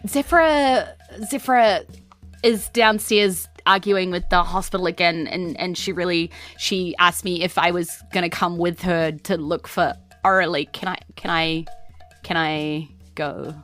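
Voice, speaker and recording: A woman's voice: lowish voice, fairly even intonation, a little slow, a bit bright, soft background music. A woman voicing an eager, nervous young man who stammers and repeats himself, in a tabletop game. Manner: stammering, repetitive.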